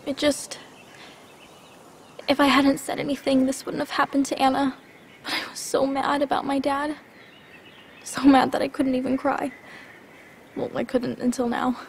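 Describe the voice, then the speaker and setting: A woman's voice: high-pitched, level tone, moderate pace, gravelly, pauses often, slight background noise. A woman playing a grieving, guilt-ridden young girl in a dramatic video game, hesitant and confiding. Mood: guilty, upset.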